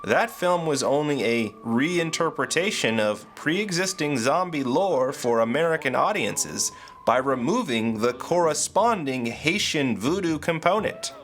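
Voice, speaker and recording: A man's voice: fairly high pitch, lively intonation, measured pace, fairly bright tone. A man playing a fatherly ghost storyteller, narrating in a theatrical, explanatory way. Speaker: host and narrator. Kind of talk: scripted educational narration.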